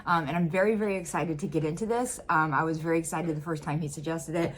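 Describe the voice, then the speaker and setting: A woman's voice: sits low, fairly even intonation, fast, a little hiss or hum. A woman from the United States hosting a reaction video from home, chatting casually with plenty of ums and uhs. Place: home room.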